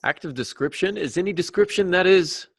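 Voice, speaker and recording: A man co-hosting a podcast, explaining casually with halting false starts and small jokes. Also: sits high, husky, no room sound, studio quality. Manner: joking, hesitant false starts. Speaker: podcast co-host, novelist.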